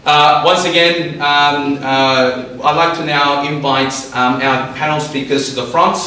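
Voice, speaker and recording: A man's voice: a little high, fairly even intonation, measured pace, bright, forceful tone. A man moderating a panel forum, speaking hesitantly with many ums and uhs. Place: community forum venue. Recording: very echoey hall, mic at a distance.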